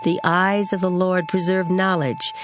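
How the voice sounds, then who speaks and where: A woman reading scripture for a dramatized audio Bible with measured, formal delivery. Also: fairly low pitch, flat delivery. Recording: music clearly under the voice. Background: background music.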